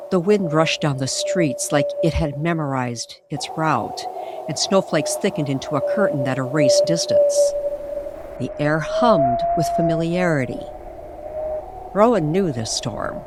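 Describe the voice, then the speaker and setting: A woman reading a dramatic scripted paranormal story with suspenseful pauses and careful, measured phrasing. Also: low-pitched, very animated, very noisy. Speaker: storyteller narrator.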